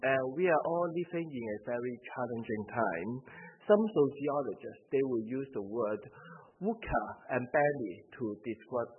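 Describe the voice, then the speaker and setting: Hong Kong-accented man preaching over a tinny line. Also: mid-range voice. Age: 40s. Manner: earnest, measured, many "uh" fillers. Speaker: visiting ministry staff preacher. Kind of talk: sermon. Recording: tinny phone-like recording with faint noise.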